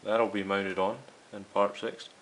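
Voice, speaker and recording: A man's voice: fairly low pitch, little pitch movement, a little slow, fairly bright tone, poor audio. A man hosting a hands-on repair video, talking casually and explaining each step directly to his viewers. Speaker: host of a radio restoration series.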